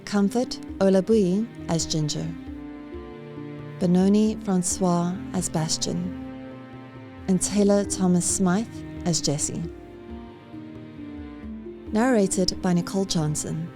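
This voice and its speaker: A woman reading scripted end credits as the announcer of an audio drama. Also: on the low side. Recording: prominent music behind the voice.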